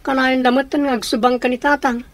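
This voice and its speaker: A woman plays a youngster sharing family troubles. The voice is high and recorded dry, in the style of a radio comedy drama. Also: flat delivery, fast, no room sound, close to an everyday voice. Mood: confiding. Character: young daughter confiding family troubles to auntie.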